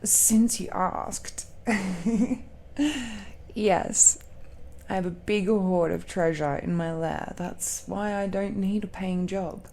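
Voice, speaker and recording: A woman's voice: fairly low pitch, steady tone, creaky, mellow, a little hiss or hum. A woman playing an affectionate, teasing dragon-blooded girlfriend in an intimate romantic audio roleplay, speaking in a coy and playful way. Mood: proud, playful. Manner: slow, playful, giggly, coy.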